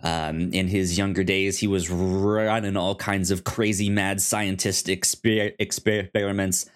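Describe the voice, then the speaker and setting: A man with a fairly low voice talks casually as a podcast co-host. He goes very slowly and often stutters, repeating sounds and small words before moving on. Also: level tone. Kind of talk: casual chat and show recap.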